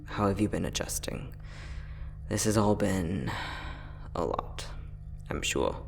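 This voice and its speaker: Man playing a low, gravelly, friendly young-hearted inventor. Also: expressive, slow pace, faint music behind, full character voice. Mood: warm, sincere. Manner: soft-spoken, chatty, playful, with frequent chuckles. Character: friendly, teasing male time-traveling inventor in a lab. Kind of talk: ASMR roleplay audio drama, addressing the listener.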